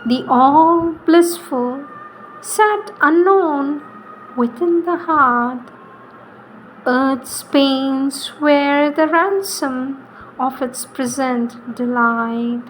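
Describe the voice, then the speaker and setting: A woman reads spiritual poetry in a solemn, devotional style, deliberately, pausing between short phrases. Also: high-pitched, slight background noise, poor audio. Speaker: reader.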